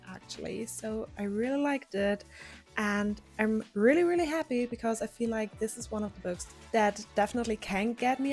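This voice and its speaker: A woman book vlogger talking to camera in a casual, chatty, enthusiastic way. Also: a little high.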